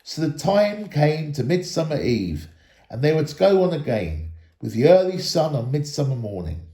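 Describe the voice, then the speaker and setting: A man reading a children's story aloud in an expressive storytelling style. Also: fairly high pitch, normal speed, reverberant. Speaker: storybook reader, school staff member.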